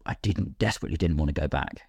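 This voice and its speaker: A man's voice: extremely low pitch, racing, gravelly, a little mellow, slightly rough, no room sound, clean studio sound. A British man talking casually as a podcast guest, chatty and self-deprecating about himself. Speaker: guest, actor and filmmaker.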